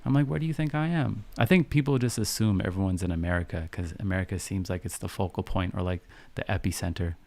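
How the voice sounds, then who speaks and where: Low, gravelly man chatting casually. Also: fast talker, few pauses, a little mellow. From Canada, grew up in Vancouver. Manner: affirming, repeats phrases, punctuates with "you understand?". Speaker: live stream host and podcaster. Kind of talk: casual live stream chat answering viewer messages.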